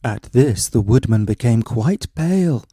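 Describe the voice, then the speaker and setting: A man's voice: medium pitch, animated, unhurried, mellow, husky, dry recording, clean studio sound. A man narrating a scripted bedtime story as a storyteller, reading expressively and with some drama.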